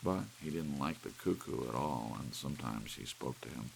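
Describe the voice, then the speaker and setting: A man reading a story as an ASMR audiobook narrator, with soft rain and a light hiss behind him. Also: extremely low pitch, animated, moderate pace, vocal fry, low-quality recording.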